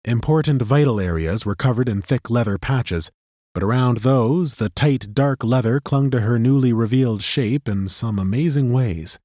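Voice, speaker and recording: A man narrating a fantasy audiobook in a steady, measured storytelling manner on a studio microphone. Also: medium pitch, no echo.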